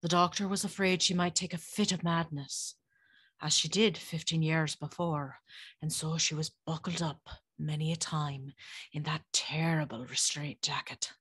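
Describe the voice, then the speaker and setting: A woman playing a haunted former servant, telling a gothic tale in a grave, deliberate, breathy voice. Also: deep voice, steady tone, fairly bright tone, no room sound. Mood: grave, hushed. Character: haunted woman recounting terrors of her days in service. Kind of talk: gothic first-person dramatic storytelling.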